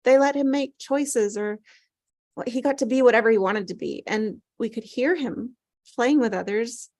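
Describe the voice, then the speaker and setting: An actress plays an older mother in grief, recorded dry and close. She is earnest and compassionate, and she speaks haltingly, feeling for each word in a scripted drama. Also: on the high side, darkish tone, dry recording. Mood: wistful, halting. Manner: halting, searching for words. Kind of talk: scripted drama table read.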